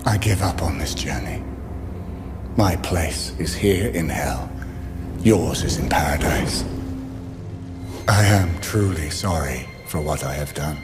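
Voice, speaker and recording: An actor playing an anguished, earnest holy warrior journeying through hell, in a dramatic game style. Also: male voice, rumbling low, takes it slowly, pauses often, over music. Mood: resigned, remorseful.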